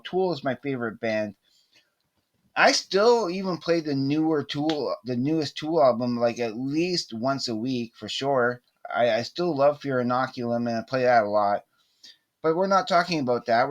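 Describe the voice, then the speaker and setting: A middle-aged man chatting casually to camera as a vlogger, rambling and halting but enthusiastic. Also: on the high side. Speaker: vlogger showing a cassette collection. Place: small indoor room with poster-covered walls.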